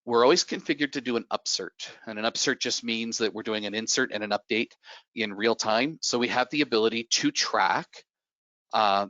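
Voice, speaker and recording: A man getting over a cold talks through a product demo, his voice a little raspy and his lower-pitched tone pushed and bright. He fills gaps with "uh" and "you know." The sound is dry and a bit dull, like a video-call mic. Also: no echo. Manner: explanatory, many fillers and restarts. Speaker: software presenter. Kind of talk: product presentation on a webinar.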